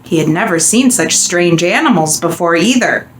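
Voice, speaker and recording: A woman reading a children's picture book aloud with expressive, dramatic and deliberate storytelling. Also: lowish voice. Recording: echoey room, faint background noise.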